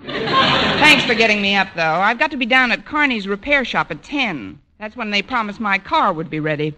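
Actress as a wry schoolteacher on noisy old radio. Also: female voice, medium pitch, expressive, normal speed, close to an everyday voice. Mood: dry, wry. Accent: American. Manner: dry, sardonic wisecracking. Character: wry, lovelorn adult female schoolteacher in a radio sitcom. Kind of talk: 1940s-50s radio comedy acting. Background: studio audience laughter.

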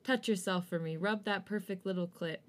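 A woman's voice: medium pitch, a little fast, lightly acted. A woman voicing a passionate, tender young man in a romance story, with an intimate, coaxing manner.

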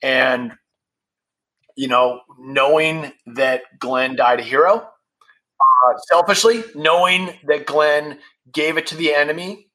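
A blunt, candid man in his fifties chats on a podcast. His voice is bright and forward, and it sits a little high for a man. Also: takes it slowly, low-quality recording. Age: 50s. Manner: candid and blunt, swears freely. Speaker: podcast guest, founder of a supplement brand. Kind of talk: casual podcast conversation.

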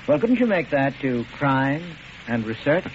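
A polite creepy research doctor, genteel hesitant manners, muffled old radio play with loud hiss. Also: male voice, medium pitch, very animated, measured pace. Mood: politely compliant. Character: polite, coolly murderous male doctor obsessed with research. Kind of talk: old-time radio drama acting.

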